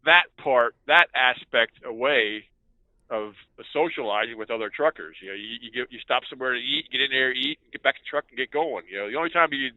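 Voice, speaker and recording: A mature man with a medium-pitched voice chats informally as a trucker guest on a podcast. He has a folksy, storytelling way of talking. The audio is thin and phone-like, with no room echo. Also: brisk. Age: middle-aged or older. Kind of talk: casual anecdotal chat.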